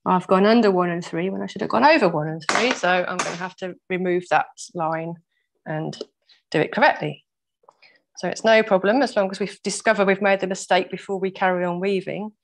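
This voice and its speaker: A woman with a croaky voice teaching a weaving workshop over a video call, patiently explaining each step and laughing off her own mistakes. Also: fairly low pitch, a little fast. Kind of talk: step-by-step craft demonstration. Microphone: laptop mic over video call.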